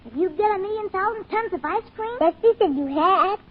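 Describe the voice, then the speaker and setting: A woman's voice: extremely high pitch, lively intonation, a little fast. A woman playing an innocent, inquisitive six-year-old boy in an old-time radio drama. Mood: innocent, eager. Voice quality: childlike. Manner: naive, questioning, stubborn when crossed. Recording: dull, noisy old radio recording.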